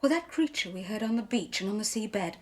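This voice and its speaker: A woman's voice: medium pitch, lively intonation, a little fast, close to an everyday voice. A woman playing an incredulous young female companion in a 1970s audio drama, questioning in a dramatic way.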